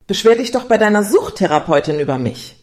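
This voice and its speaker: Dry, long-suffering girlfriend played by an actress, mid-range voice, deadpan comic radio-play delivery. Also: medium pitch, brisk, natural voice. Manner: deadpan, patronising. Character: dry, weary girlfriend of an attention-seeking blogger. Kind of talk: satirical radio play dialogue.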